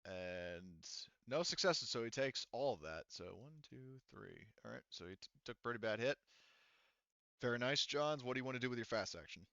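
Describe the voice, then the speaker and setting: A man's voice: medium pitch, expressive, normal speed, gravelly, sharp tone, dry recording, highs cut off. A man running a tabletop role-playing game as game master, talking rules casually and swearing freely.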